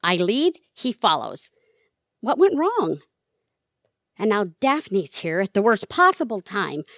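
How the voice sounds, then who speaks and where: A woman acting in an audio drama as a bossy, scornful middle-aged wife and mother with a sharp tongue. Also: mid-range voice, very animated, slow, dry recording, clean studio sound, full character voice. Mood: rattled, pleading.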